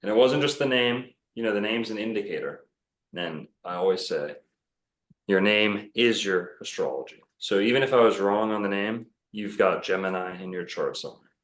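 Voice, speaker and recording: Deep-voiced man in a roomy echo. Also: normal speed, a bit bright. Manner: rambling, self-assured, many fillers and restarts. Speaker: podcast guest, bookstore owner. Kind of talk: casual podcast conversation.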